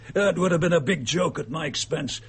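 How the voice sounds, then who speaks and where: A man acting in a radio drama as a gloating, bitter murderer and impostor, with a husky, gravelly voice and a sneering, darkly amused manner. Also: on the high side, fairly bright tone.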